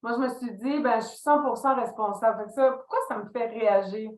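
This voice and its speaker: A woman's voice: a little high, brisk. A middle-aged woman with a Québécois French accent, a guest telling stories about her career and acting out remembered dialogue. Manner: animated storytelling, acts out quoted dialogue. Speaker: podcast guest, leadership consultant. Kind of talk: reflective interview talk. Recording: echoey room.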